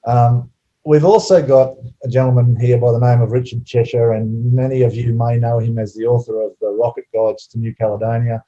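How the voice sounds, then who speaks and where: A man hosting an online webinar over a video call, relaxed and hesitant with many fillers. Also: mid-range voice, a little fast, highs cut off. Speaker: webinar host.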